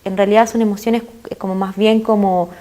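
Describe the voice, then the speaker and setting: A woman's voice: mid-range voice, little pitch movement, brisk, warm tone. Chilean woman explaining mindfulness earnestly. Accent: Chilean Spanish. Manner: earnest, explanatory, with frequent fillers. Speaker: mindfulness guest expert. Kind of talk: explanatory interview talk.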